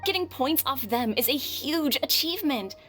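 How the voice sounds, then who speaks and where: A supportive young classmate in an anime game. Her fairly high voice is earnest and admiring, over soft background music. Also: expressive, normal speed. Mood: encouraging. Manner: earnest, encouraging. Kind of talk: anime video game voice acting.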